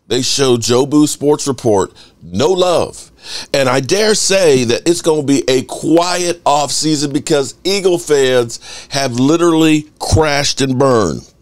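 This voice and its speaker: A man in his thirties hosting a sports podcast from his home studio, slightly hoarse from yelling, talking in a fired-up, profane, drawn-out way into a desk microphone. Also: medium pitch. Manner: animated, profane, drawn-out delivery. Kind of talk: fired-up fan commentary. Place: home studio room full of memorabilia.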